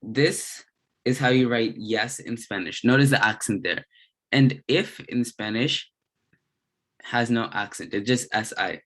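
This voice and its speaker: A man giving a slide-based language lesson as a tutor, explaining in short, halting phrases with pauses. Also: medium pitch, fairly bright tone. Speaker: Spanish grammar tutor.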